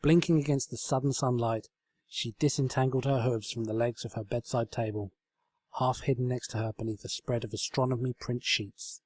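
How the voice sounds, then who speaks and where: A man's voice: medium pitch, steady tone, normal speed, darkish tone, no echo. A man reading a story aloud as narrator, in a scripted storybook style. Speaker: fan fiction reader, narrator.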